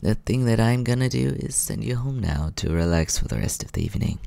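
A man playing a courteous, teasing vampire in an ASMR roleplay, soft-spoken, calm and unhurried, close to the microphone. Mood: pleased, reassuring. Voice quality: low, creaky.

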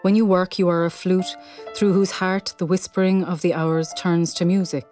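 A woman narrates in a measured, poetic reading style. Her voice is soft, mellow and a little low, with faint music playing behind her. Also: flat delivery.

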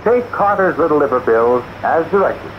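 1940s radio ad guy, emphatic pitchman voice swinging up and down, dull hissy old recording. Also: expressive, moderate pace. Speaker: radio announcer. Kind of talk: 1940s radio advertisement announcing.

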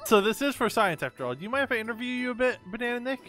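Male gamer co-player, animated delivery, mock-earnest and joking, casual roleplay banter. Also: very high voice, racing, faint music behind. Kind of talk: improvised roleplay chat.

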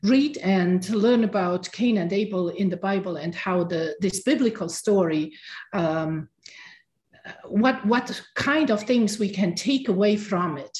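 A middle-aged woman from Romania, giving a Sabbath school talk with many pauses and restarts. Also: medium pitch, darkish tone, roomy sound. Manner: halting, many ums and restarts. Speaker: guest teacher.

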